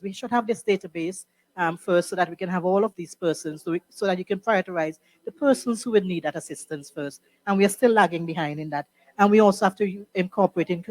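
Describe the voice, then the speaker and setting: Fast-talking Vincentian Caribbean woman. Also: medium pitch, darkish tone, no room sound. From Saint Vincent and the Grenadines. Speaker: panel speaker. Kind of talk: conference panel remarks.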